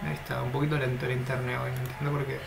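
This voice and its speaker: A man's voice: medium pitch, rapid-fire, gravelly. Man walking through crypto charts. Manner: steady, explanatory, talks through charts. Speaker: crypto trading analyst. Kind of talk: screen-share market analysis. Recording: noticeable background noise.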